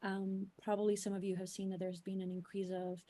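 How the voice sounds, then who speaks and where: A woman's voice: mid-range voice, completely flat delivery, fairly quick, warm tone. A woman raised in New York City, a youth civic organizer answering on a panel in an earnest, explanatory way with many fillers and restarts. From New York City, United States.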